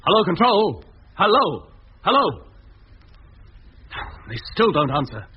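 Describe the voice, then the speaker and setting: Commanding rocket captain, old radio serial, lively swings in pitch, muffled hissy recording. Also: male voice, slow pace, heavily acted. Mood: commanding, urgent. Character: commanding male rocket captain, brisk and steady under pressure. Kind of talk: 1950s British radio drama acting.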